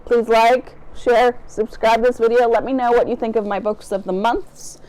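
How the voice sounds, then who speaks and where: A woman's voice: fairly high pitch, fairly even intonation, normal speed, darkish tone, rough recording. A woman from the US Midwest chatting casually as a book vlogger, rambling and breaking off her own sentences as she goes. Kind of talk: casual unboxing vlog chat.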